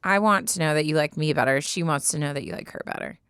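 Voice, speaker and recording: A woman's voice: low-pitched, animated, fast, gravelly, fairly bright tone, smooth, dry recording, clean studio sound. An American woman co-hosting a podcast, speaking in an informal, slangy, casual way. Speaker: podcast co-host. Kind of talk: casual banter and reading a listener letter aloud. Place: podcast studio.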